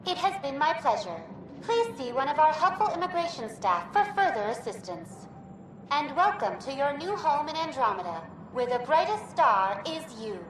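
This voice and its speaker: A female virtual assistant in a sci-fi game, high and very lilting. She is upbeat and formal, like a scripted customer-service greeter, in an echoey space with clear music behind her. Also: big pitch swings, normal speed, far from the mic, noisy background. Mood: polite, automated. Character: cheerful, helpful female virtual intelligence greeter program. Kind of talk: scripted automated welcome announcement.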